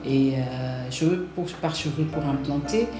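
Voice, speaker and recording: A woman from Congo in her forties, answering interview questions in a halting way with many fillers and restarts. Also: a bass voice, music in the background. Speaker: interviewee, hair clinic co-founder. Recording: roomy echo.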